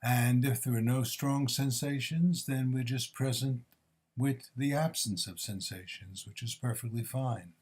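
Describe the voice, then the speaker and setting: A man with a mid-pitched voice guides a body scan meditation. He gives gentle instructions in short, measured phrases. Pauses separate one phrase from the next, in the manner of a mindfulness teacher. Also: slightly rough.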